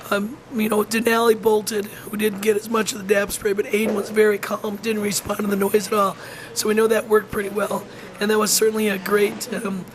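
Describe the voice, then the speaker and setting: Hoarse, halting narrator with a flat voice. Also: medium pitch, background noise. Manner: halting, frequent ums and restarts. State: hoarse, losing voice. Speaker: wolf center educator. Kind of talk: informal voice-over narration.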